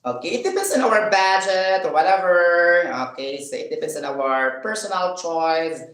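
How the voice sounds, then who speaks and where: A man's voice: high voice, moderate pace, a bit bright, reverberant. A man chatting casually in a vlog while he eats, rambling with fillers, asking himself questions and laughing. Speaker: vlogger.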